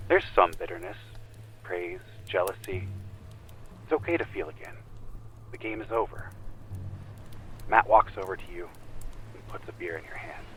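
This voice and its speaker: Guy acting as calm memory guide man, lowish voice, slow soothing but pushy, audio drama, bit of background noise. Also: rough recording. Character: calm, insistent male memory-regression guide named Carlos.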